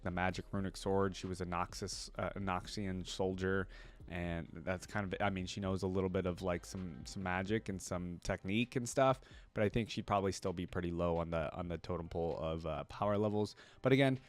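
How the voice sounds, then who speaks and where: A man hosting a casual reaction video, rambling and thinking aloud as he comments. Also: low-pitched.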